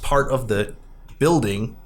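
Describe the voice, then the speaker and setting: Animated man hosting a casual podcast. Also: medium pitch, slow. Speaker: podcast host. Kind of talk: casual movie discussion.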